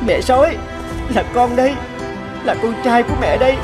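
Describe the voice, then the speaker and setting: Lonely wolf-raised boy for animation played by an actor, gentle, plaintive, earnest, loud music underneath. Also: medium pitch, normal speed, natural voice. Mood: pleading, sad. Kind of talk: cartoon dubbing.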